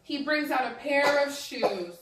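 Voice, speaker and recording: Echoey, slow-paced woman teacher reading aloud. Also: high-pitched, animated, fairly bright tone. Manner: prompting listeners with questions, measured reading. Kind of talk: picture-book read-aloud with think-aloud questions. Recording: echoey room, distant microphone.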